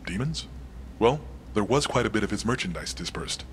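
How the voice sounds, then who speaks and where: A man playing an unflappable right-hand man to a crime boss in a video game, formal, clipped and deadpan. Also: on the low side, normal speed, a little hiss or hum, close to an everyday voice. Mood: dry, measured. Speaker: crime boss's adviser. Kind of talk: cinematic game dialogue.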